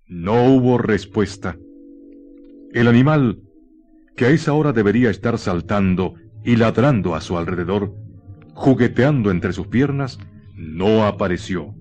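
A man with a lowish voice narrates a horror radio play very slowly. He is deliberate and suspenseful, letting pauses hang, with faint music behind him. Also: expressive, darkish tone, lo-fi sound.

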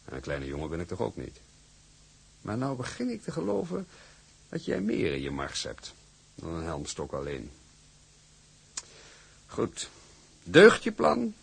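An older male actor playing a shrewd, imperious company boss with a gravelly, husky voice and a deliberate, patronising manner in a drama. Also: low-pitched, wildly swooping pitch, full character voice. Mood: stern, probing.

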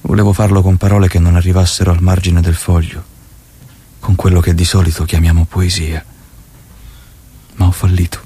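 An actor playing a tender, solemn revolutionary saying farewell in poetic, measured stage-drama style. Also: male voice, deep voice, little pitch movement, mellow, husky. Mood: tender melancholy. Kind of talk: poetic stage-drama acting, reciting letters.

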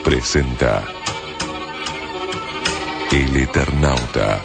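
A man's voice: very deep voice, very slow, vocal fry. A man with an Argentine accent making formal radio station announcements. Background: loud music.

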